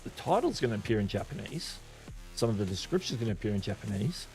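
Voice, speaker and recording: A man's voice: lowish voice, sing-song, quick pace, darkish tone, soft background music, low-quality recording. A man hosting a live creator news stream, talking loosely with lots of restarts and fillers, reading viewer chat aloud. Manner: rambling, many restarts and fillers. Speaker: host.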